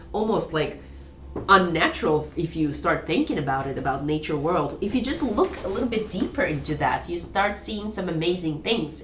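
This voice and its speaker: A woman hosts a casual online discussion in a lower voice that jumps around a lot in pitch. She rambles, restarts her sentences and laughs often. Her voice sounds roomy, with faint noise behind it. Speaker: host of an online discussion room.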